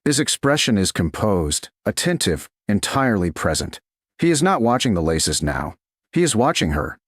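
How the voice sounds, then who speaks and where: A man reading a slow-burn romance story aloud in a slow, hushed, deliberate manner. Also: fairly low pitch, very animated, dry recording, studio quality. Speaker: narrator. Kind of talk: scripted romance narration.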